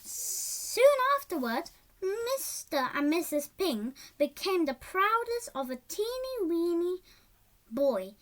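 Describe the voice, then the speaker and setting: Child reader, high voice, slow pace, lively swoops in pitch, halting storybook read-aloud with stumbles and repeats. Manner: halting, stumbles over words and repeats them.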